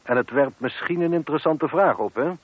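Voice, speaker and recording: A man's voice: a little high, a little fast, husky. A man acting a methodical police inspector in a Dutch radio play. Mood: pressing. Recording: dull, muffled old radio recording.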